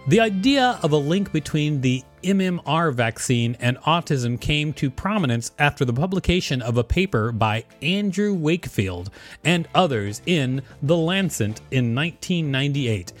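A man's voice: on the high side, lively intonation, moderate pace, faint music behind. A man co-hosting a podcast, reading an encyclopedia article aloud and commenting on it. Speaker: podcast co-host.

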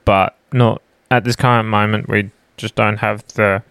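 A young Australian man with a smooth, resonant voice talks casually as a podcast co-host. He is laid-back, slangy and quick to swear, and the sound is close and dry. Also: on the low side, flat delivery, a bit bright, dry recording. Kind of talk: casual chat. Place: smallish room in a home.

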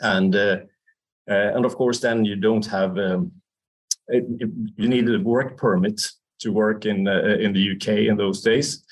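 Swedish guy in his 60s, kinda low voice, lots of uhs and little laughs while he talks. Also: steady tone, a little slow, low-quality recording. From Sweden. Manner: hesitant, many fillers and restarts, laughs often. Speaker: guest, company founder. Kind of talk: casual interview talk.

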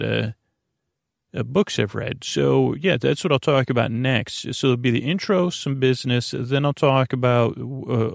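A man hosting a bedtime podcast in a rambling monologue, halting and interrupting himself, with sentences that wander and trail off, in a gravelly voice. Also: mid-range voice, no room sound, studio quality. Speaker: podcast host.

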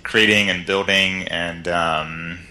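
A very deep, bright-toned male voice that barely moves in pitch. He speaks as a podcast guest, relaxed and halting, with lots of ums and restarts. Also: a little hiss or hum. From Netherlands and California. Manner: relaxed, hesitant, many fillers and restarts. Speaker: guest entrepreneur. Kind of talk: casual interview.

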